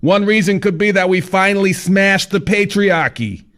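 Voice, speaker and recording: A man's voice: high voice, normal speed, fairly bright tone, pitched above the performer's own voice. A man voicing a rotund, pushy writing mentor who talks in a showy, theatrical way.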